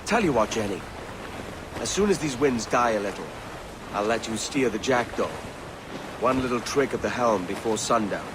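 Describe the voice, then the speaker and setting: A man playing a gentle, regretful sea captain and father with a measured, hesitant manner in a video game cutscene. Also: mid-range voice, lively intonation, lightly acted. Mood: gently indulgent. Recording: noisy wind and waves on ship.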